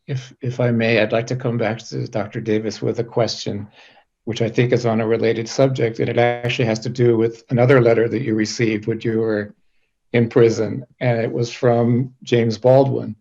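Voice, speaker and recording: A man moderating a panel, putting measured questions in careful, earnest phrasing. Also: mid-range voice, level tone, vocal fry, a little mellow, muffled recording.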